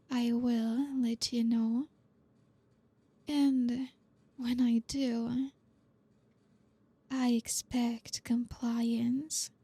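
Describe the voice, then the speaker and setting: A woman playing a flirty, dominant boss in an ASMR roleplay, speaking softly and breathily close to the mic in a teasing, commanding way. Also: on the high side, dry recording, full character voice. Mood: controlled, commanding. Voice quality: soft, breathy, often near whisper. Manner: slow, commanding, teasing, with pauses. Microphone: close mic, dry.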